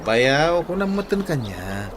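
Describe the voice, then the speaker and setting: Lively, cheeky younger sidekick. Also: male voice, on the high side, slow pace, noisy background. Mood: teasing, excited. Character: cheeky, timid younger male companion. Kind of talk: radio drama acting.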